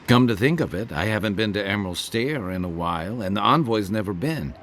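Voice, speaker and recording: An actor playing a jovial, warm-hearted rogue of an adventuring companion in a video game, teasing and easygoing. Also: male voice, on the low side, animated, fairly quick, a little hiss or hum. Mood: playful. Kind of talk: conversational video game voice acting. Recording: voice over game music.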